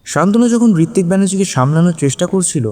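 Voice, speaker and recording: A man's voice: sits high, expressive, fast talker, darkish tone. A man narrating a scripted suspense story dramatically in Bengali. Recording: long reverberant hall echo.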